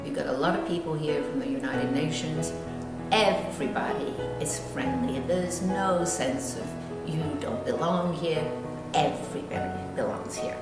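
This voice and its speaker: A woman resident making warm, declarative statements about her community. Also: deep voice, normal speed, roomy sound, over loud music.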